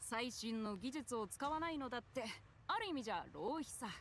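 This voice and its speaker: Game blacksmith: adult woman, quick and blunt but kindly, slightly raised pitch, faint background noise. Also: lively intonation, fairly bright tone. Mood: frank, fond. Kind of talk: Japanese video game voice acting.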